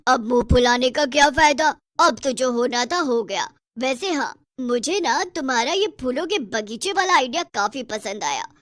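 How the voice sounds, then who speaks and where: Woman playing a cackling high-voiced witch. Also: animated, moderate pace, few pauses, a bit bright, no room sound, studio quality. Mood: pleased, teasing. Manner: cackling, taunting. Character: gloating, bossy, selfish female witch who kills people. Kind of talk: Hindi horror cartoon story acting.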